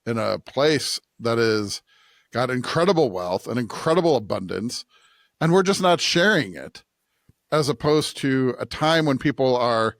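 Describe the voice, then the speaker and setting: A man's voice: mid-range voice, vocal fry, a bit bright, no echo, studio quality. A man hosting a Bible podcast with casual, unscripted commentary, repeating phrases as he thinks aloud. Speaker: podcast host discussing scripture.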